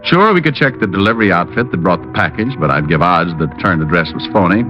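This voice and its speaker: Cocky private eye, low voice, sardonic, breezy, noir radio drama, dull vintage recording. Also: male voice, expressive, fast talker, over music. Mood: sardonic narration. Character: wisecracking, cocky private detective. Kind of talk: 1950s radio drama acting and noir narration.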